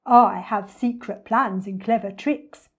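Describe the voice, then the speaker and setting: A woman voicing an enormous, boastful, greedy male crocodile in a children's storybook, gloating and savouring the words with slow menace. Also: medium pitch, breathy edge. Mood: sly, gloating. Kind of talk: dramatic children's storybook reading.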